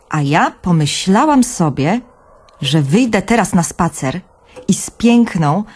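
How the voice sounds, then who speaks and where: A woman's voice: medium pitch, darkish tone, natural voice. An actress playing a dreamy, wry young woman who narrates her own story in a radio drama.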